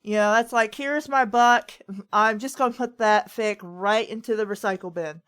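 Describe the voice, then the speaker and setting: A woman's voice: mid-range voice, normal speed, a bit bright, clear, resonant tone. A woman hosting a casual chat, talking in a rambling, opinionated storytelling way. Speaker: host of a fan fiction reading show.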